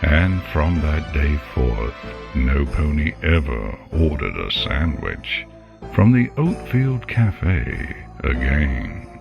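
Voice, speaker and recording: Deep gravelly guy doing slow ominous story narration, bit muffled, music behind him. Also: big pitch swings. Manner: ominous, measured. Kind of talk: dramatic scripted story narration. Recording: dull lo-fi sound, loud music behind.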